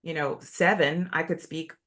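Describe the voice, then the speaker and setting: A host speaking in a reflective, affirming way, pausing often with fillers as she builds her points. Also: medium pitch, steady tone, fairly bright tone. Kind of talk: conversational interview.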